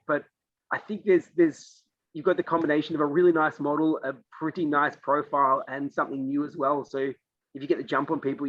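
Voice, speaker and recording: An Australian man, a podcast guest, joking and bantering quickly in a casual online interview. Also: fairly high pitch, a bit bright, no echo, dull audio.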